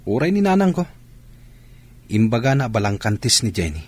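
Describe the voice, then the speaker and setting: A man's voice: mid-range voice, big pitch swings, moderate pace. A man acting a troubled, unfaithful husband and absent father in a radio drama. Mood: troubled, defensive.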